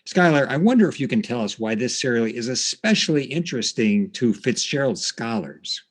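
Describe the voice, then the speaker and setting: A man hosting a podcast, telling stories from notes in a conversational, somewhat halting way. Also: mid-range voice, animated. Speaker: podcast host. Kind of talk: storytelling narration from notes, conversational.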